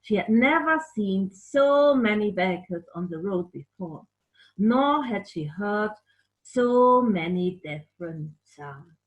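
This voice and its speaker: A woman reads a story for children at an unhurried pace. She is expressive and animated, and pauses to explain things and chat playfully with a puppet. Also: mid-range voice, dull audio. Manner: animated, explanatory, makes playful sound effects.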